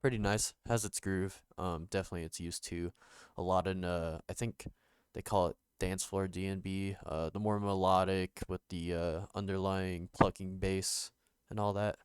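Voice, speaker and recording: A young man with a nasal voice, recovering from being sick, talking casually through a music production tutorial with many pauses and fillers. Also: steady tone, smooth, dry recording, studio quality. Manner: halting, many ums and restarts, low-key. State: recovering from illness. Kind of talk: casual screen-recorded tutorial.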